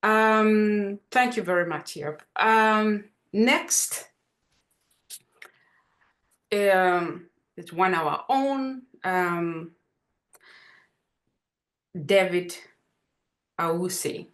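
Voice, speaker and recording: A woman's voice: mid-range voice, very slow, long pauses, a bit bright. A woman with a Ugandan Dutch accent moderating an online panel over a video call, speaking hesitantly with many fillers and restarts. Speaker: webinar moderator.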